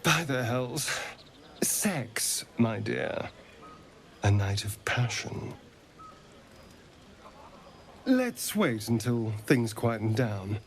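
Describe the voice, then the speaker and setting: An actor playing a flirtatious, self-indulgent vampire rogue in a video game, with an arch, theatrical drawl. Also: male voice, mid-range voice, very animated, slow. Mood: teasing, seductive. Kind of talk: video game character acting.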